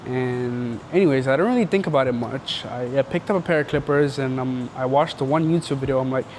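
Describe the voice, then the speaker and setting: A man's voice: medium pitch, brisk, a little mellow, slight background noise. A young man from Canada, casually telling his life story in an interview, rambling and slangy with lots of filler words.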